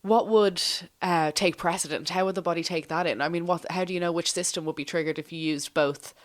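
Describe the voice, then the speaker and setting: A woman with a deep, low-pitched voice chats casually with a co-host. She wonders aloud and asks thoughtful follow-up questions. Her delivery is easygoing and conversational. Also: steady tone, a little fast.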